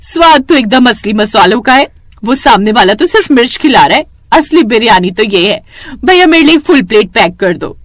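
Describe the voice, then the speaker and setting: A woman's voice: a little high, lively intonation, moderate pace, dry recording, clean studio sound. A woman voicing an enthusiastic, exclaiming female street-food customer in a cartoon village story. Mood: delighted.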